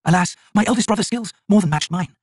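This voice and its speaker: A defiant, bitter shaman woman of dark fantasy, performed by a male narrator. The voice is raised high and slightly husky, speaking with proud, emphatic intensity. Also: fast talker, dry recording, studio quality. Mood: bitter defiance.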